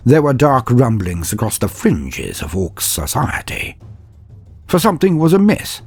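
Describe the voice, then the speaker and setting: A man's voice: medium pitch, darkish tone, husky. A man narrating a comedic fantasy war story in a dramatic, mock-epic way with wry asides. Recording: dry close recording with faint music.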